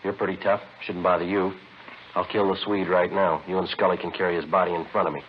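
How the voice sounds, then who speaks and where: An actor playing a tough, cool American police detective in a vintage radio crime drama, giving terse, clipped commands. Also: male voice, deep voice, little pitch movement, fast talker, close to an everyday voice. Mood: menacing, commanding. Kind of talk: 1940s-50s radio crime drama acting. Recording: dull, noisy vintage radio recording.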